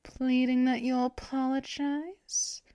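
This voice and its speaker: A woman voicing a possessive, teasing female vampire mistress in an ASMR roleplay, speaking in soft, purring whispers. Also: high voice, little pitch movement, takes it slowly, no echo.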